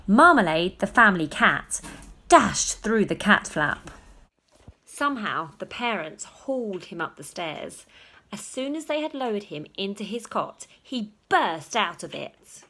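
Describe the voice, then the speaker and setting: A woman reading a children's story aloud with dramatic, emphatic storytelling and drawn-out words. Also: mid-range voice, sharp tone. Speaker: storybook reader. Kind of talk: expressive children's story narration.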